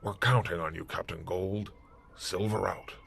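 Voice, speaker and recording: A man playing a blunt, callous military commander with a husky voice and clipped manner in a retro sci-fi radio drama. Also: low-pitched, steady tone, measured pace, fairly bright tone, full character voice. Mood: grave. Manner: clipped, military, matter-of-fact. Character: blunt, callous male space-force commander.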